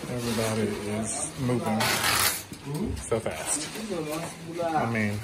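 A man's voice: medium pitch, slow pace, very noisy. Congested, chatty man filming a home tour. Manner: chatty, emotional, addresses viewers as y'all. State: nasal congestion. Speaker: vlogger. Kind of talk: casual home-tour vlog. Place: house being packed up, indoors. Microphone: handheld phone camera.

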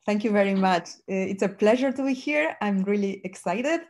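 A woman's voice: mid-range voice, lively intonation, normal speed, a little mellow. A woman in her fifties from Argentina, a native Spanish speaker with an Argentine accent, presenting research as a professor on a video call. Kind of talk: conference presentation. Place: home office, indoors. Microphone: computer on a video call.

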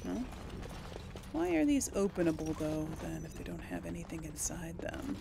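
Creaky-voiced woman playing a game. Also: on the low side, sing-song, normal speed, noisy background. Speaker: gaming host. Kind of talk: casual let's play commentary; reads game text and dialogue choices aloud.

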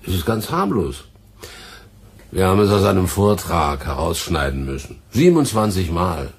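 A man's voice: low-pitched, very animated, normal speed, slightly rough, full character voice. A man acting a laconic, obliging studio sound technician in a German radio play, understated in manner. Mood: dry, matter-of-fact.

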